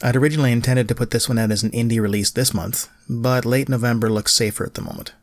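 A middle-aged man, narrating a solo podcast in a measured, lightly self-deprecating way. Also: medium pitch, creaky, slight background noise. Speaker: podcast host, writer.

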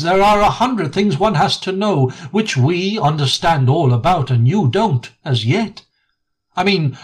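Man voicing a steady, reassuring rat, husky edge, storybook reading. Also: on the high side, voice pushed up, exaggerated voice. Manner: soothing, hearty, reassuring. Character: kindly brave male water rat.

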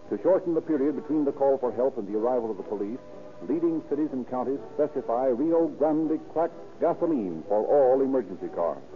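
A man announcing and narrating in scripted 1930s radio style, formal and emphatic. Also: medium pitch. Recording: tinny 1930s radio broadcast.

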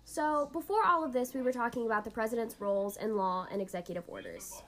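Higher-voiced woman explaining as podcast host. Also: fast, loud music behind the voice. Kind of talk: scripted educational explainer dialogue.

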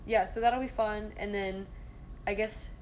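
A woman's voice: medium pitch, fairly even intonation, unhurried, darkish tone, a little hiss or hum. A woman in her twenties co-hosting a podcast in casual banter, with a giggly, jokey, self-mocking manner. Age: 20s. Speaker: podcast co-host. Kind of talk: casual banter between friends.

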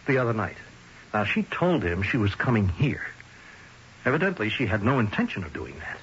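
An actor playing a dogged, world-weary police lieutenant who questions in a halting, insistent way, in old-time radio drama style. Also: male voice, lowish voice, big pitch swings, a bit bright. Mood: probing, insistent. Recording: hissy, dull old radio recording.

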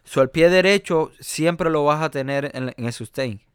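Male jazz pianist, Cuban accent, resonant voice, halting conversational delivery. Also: a little high, clear, resonant tone, no room sound, studio quality. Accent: Cuban Spanish. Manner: hesitant, many fillers and restarts. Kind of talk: conversational interview.

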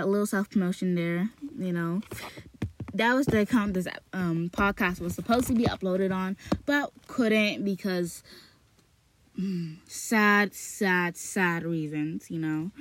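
Woman podcaster in a small closet, reads slowly, then chats loosely, repeating words and filling pauses. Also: on the low side, a little hiss or hum. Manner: rambling, repeats words, frequent fillers and restarts. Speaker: podcast host reading a novel aloud. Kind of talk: slow read-aloud, then rambling casual chat.

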